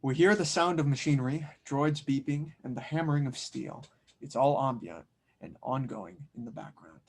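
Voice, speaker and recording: A man's voice: medium pitch, normal speed, slight background noise. A man reading stage directions as narrator in an audio drama table read.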